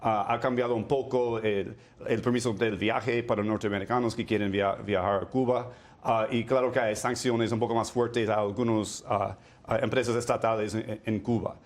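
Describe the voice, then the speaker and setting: Measured man searching for his words. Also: on the low side, steady tone. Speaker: policy consultant, panel guest. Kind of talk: studio news debate.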